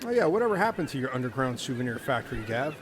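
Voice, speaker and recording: A man's voice: mid-range voice, very animated, racing, close to an everyday voice. A man playing a curious castaway companion in a comic radio play. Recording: noisy track with ambient sound.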